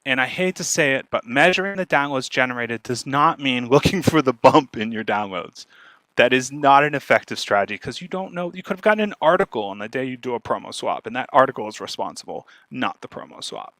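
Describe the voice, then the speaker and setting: A man giving an informal instructional talk as a speaker, talking fast and animatedly and often checking in with his listeners. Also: mid-range voice, no echo. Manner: fast, animated talker who checks in with "right?".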